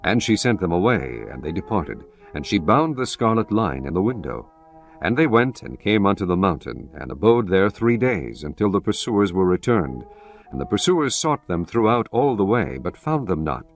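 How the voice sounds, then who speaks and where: A man narrating scripture in a solemn, measured way. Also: sits low, big pitch swings, soft background music.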